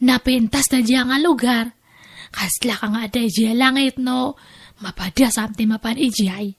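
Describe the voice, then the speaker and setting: A woman playing a pushy, money-minded friend in a family radio drama, coaxing and bossing with drawn-out words. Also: on the high side.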